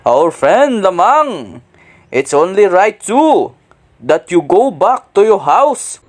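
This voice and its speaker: Man playing a slow, high-pitched villager. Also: very animated, full character voice. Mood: admonishing. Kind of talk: readers' theater acting.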